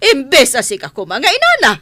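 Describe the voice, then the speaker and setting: Sharp, scolding Ilocano family matriarch. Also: female voice, sits high, dry recording, full character voice. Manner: reproachful, emphatic. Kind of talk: Ilocano radio drama acting.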